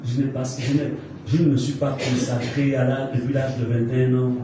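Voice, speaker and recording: A man's voice: mid-range voice, level tone, normal speed, noisy background. A man in his fifties preaching a sermon, leading the congregation in call-and-response with emphatic repetitions. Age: 50s. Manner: emphatic, repeats phrases for the congregation to echo. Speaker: pastor. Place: church hall. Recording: echoey church hall, distant mic.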